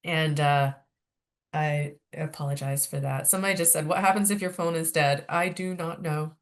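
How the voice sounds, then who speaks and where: A woman's voice: low-pitched, steady tone, moderate pace. A woman with a head cold, sniffling as she hosts a casual virtual orientation panel, talking loosely with many fillers over a video call. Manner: chatty, rambling, many fillers. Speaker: orientation host, graduate life staff.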